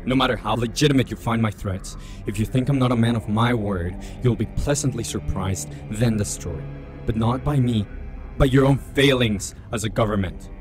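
A man's voice: mid-range voice, normal speed, over music, lightly acted. A man playing a vengeful, grieving father and villain in an audio drama, with grandiose, menacing delivery.

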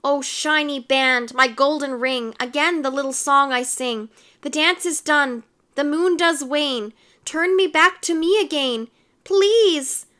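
A woman voicing an excitable, easily panicked young man in a storybook, pleading and exclaiming. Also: high voice, slow pace, voice pushed up. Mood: anxious singing.

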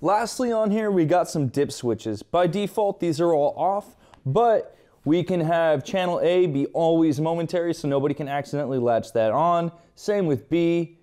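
Clear-voiced man giving a casual tutorial. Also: fairly high pitch, lively intonation, moderate pace, dark tone. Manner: informal, conversational, step-by-step. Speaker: instructor presenting an equipment tutorial. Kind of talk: casual hands-on tutorial explanation.

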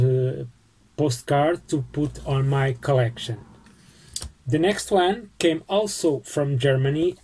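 A man in his forties with a Portuguese accent, casually showing his postcards at home and talking through each one with small pauses. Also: a little high, darkish tone, poor audio. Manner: unhurried, friendly, pauses with fillers while showing items. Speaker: postcard collecting vlogger. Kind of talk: casual show-and-tell vlog. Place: small home room lined with bookshelves, indoors.

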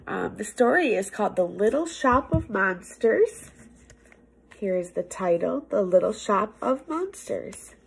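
A woman's voice: mid-range voice, creaky, slight background noise, poor audio. A young woman reading a picture book aloud to children like a teacher, theatrical and playful, drawing words out for effect. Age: young adult. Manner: theatrical, expressive, playful, with drawn-out words and hushed asides. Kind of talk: animated storybook read-aloud for children. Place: small office room indoors.

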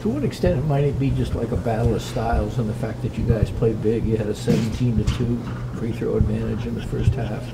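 A male reporter with a gravelly, lowish voice lays out statistics before his question. Loud locker room noise sits behind him. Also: fairly quick, hardly any pauses. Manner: detailed, stat-heavy questions. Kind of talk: asking postgame questions.

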